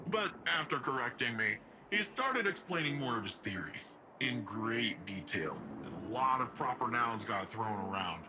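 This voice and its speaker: An actor playing a breezy, upbeat young futuristic city defender in a video game, talking in a casual, chatty way. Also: male voice, on the high side, natural voice. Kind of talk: video game character dialogue. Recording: noisy game cutscene audio.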